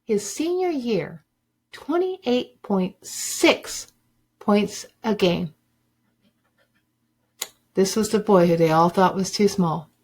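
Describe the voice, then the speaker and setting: A woman reading a children's book aloud like a teacher, with deliberate, emphatic phrasing for young listeners. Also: medium pitch, animated, a little mellow. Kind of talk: expressive storybook read-aloud.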